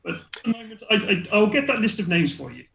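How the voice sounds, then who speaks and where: A man playing a put-upon dwarf foundry master, gravelly, highish voice, stammering, evasive, roomy echo, tabletop game. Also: big pitch swings, fast, fairly bright tone, heavily acted. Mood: defensive, reluctant. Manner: stammering, hedging.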